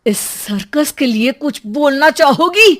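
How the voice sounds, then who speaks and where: A woman's voice: high-pitched, lively intonation, a little slow, fairly bright tone, pitched above the performer's own voice. Lady doing a teasing cartoon husband, kinda put upon, wry bantering talk. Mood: sarcastic. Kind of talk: Hindi cartoon story dialogue.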